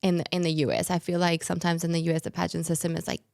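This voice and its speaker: A young woman from South Africa whose first language is Afrikaans, speaking with a South African accent and chatting easily in a podcast interview, full of fillers and quick laughs. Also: on the low side, little pitch movement, vocal fry, darkish tone, dry recording, clean studio sound. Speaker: guest, former pageant winner. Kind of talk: podcast interview, casual chat.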